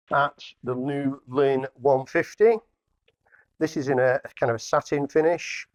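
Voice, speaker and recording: A man's voice: medium pitch, no echo. A man giving a casual product demonstration as a hi-fi dealer, plainly explaining each step as he works. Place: indoor hi-fi showroom.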